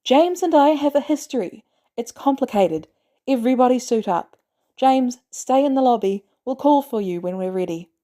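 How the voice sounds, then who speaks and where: A woman voicing a no-nonsense female spy in an audiobook, brisk and decisive. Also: fairly high pitch, animated, warm tone, voice pushed up, close to an everyday voice. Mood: snappy, commanding.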